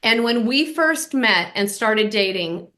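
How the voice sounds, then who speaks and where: A middle-aged woman from Northern California hosts a podcast in a casual, animated chat. She talks with emphatic bursts and swears freely. Her voice is bright and sits a little high. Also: slow. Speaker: podcast host.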